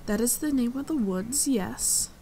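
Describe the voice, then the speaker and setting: A woman playing a regal, dryly amused and protective fae leader in an intimate ASMR fantasy roleplay, speaking in a measured, composed, gently condescending way. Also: a little high, expressive, slight background noise. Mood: composed, wry. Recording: forest ambience with birdsong. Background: birdsong and forest sounds.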